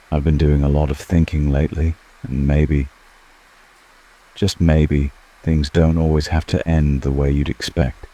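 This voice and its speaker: Man voicing a very deep, slow, seductive ancient vampire. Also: mellow, low-quality recording. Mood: coaxing, predatory. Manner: slow, formal, teasing, intimate. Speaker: ASMR roleplay performer. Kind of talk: scripted ASMR roleplay.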